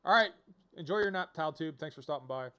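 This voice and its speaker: A man's voice: fairly high pitch, lively intonation, a little fast, bright, forceful tone, clean tone. A man casually commentating on a video game as he streams, rambling and thinking aloud as he reads out options.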